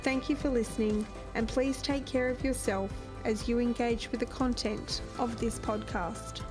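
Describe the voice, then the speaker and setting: A woman hosting a podcast, reading a calm, supportive intro and then interviewing a guest. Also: on the high side, normal speed, music in the background. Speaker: podcast host.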